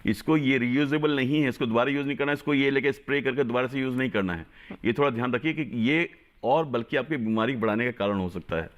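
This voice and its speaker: A man's voice: mid-range voice, quick pace. Emphatic man giving medical advice. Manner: emphatic, repeats points. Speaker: doctor. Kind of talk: medical advice on a call-in show.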